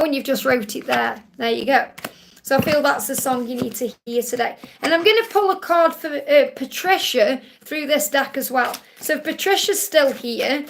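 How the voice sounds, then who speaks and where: High-voiced woman giving spiritual readings. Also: gravelly, noisy background. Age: 40s. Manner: warm, reassuring, sing-song phrasing with affirmations. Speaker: spiritual reader hosting a live Q&A. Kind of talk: live-stream reading of viewer questions.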